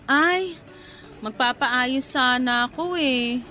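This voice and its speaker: A woman's voice: high voice, slow pace, bright, forceful tone, soft background music, full character voice. An actress playing a playful, forward young flower seller in a Filipino radio drama, coy and giggly as she teases. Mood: friendly, asking favor.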